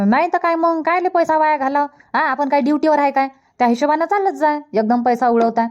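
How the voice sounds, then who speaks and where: A woman acts out a practical, penny-pinching village mother from a cartoon comedy. She talks high and very fast in Vidarbha Marathi, pressing her advice. The dub sounds dull and lo-fi. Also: lightly acted. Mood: advising. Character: thrifty, practical older village mother visiting her daughter.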